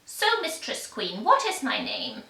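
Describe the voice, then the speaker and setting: A woman's voice: on the high side, huge pitch swings, a little slow, creaky, pushed and bright, heavily acted. A woman voicing a demanding, gleeful little mannikin man in a fairy tale, taunting and emphatic, in an echoey room. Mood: gloating. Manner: taunting, emphatic, singsong questions and refusals. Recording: echoey room, distant microphone.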